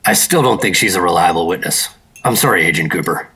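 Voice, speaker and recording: Bright-edged, low-voiced American secret operative. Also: male voice, expressive, quick pace, husky, exaggerated voice. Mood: dry, skeptical. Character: terse, stubborn, skeptical 1950s male secret operative. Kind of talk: radio drama acting with noir narration.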